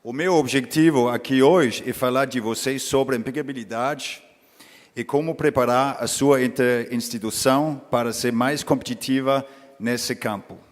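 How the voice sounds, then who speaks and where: A man speaking Portuguese as a second language, giving a conference presentation in a careful, halting way with frequent restarts. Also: medium pitch, fairly even intonation. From foreign, lived in Brazil as a teen. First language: not Portuguese. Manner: careful, halting non-native speech with restarts. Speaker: conference speaker, company vice-president. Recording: reverberant conference hall.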